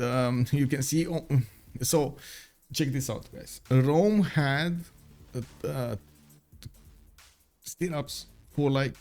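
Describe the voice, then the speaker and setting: A man's voice: mid-range voice, darkish tone. A young man whose first language is Romanian, commentating a strategy game live, with lots of fillers and upbeat chat with viewers. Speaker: game caster.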